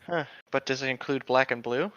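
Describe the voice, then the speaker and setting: A man's voice: medium pitch, a little fast, fairly bright tone, no room sound. A man reading fan fiction aloud and chatting casually with friends, on a slightly dull microphone.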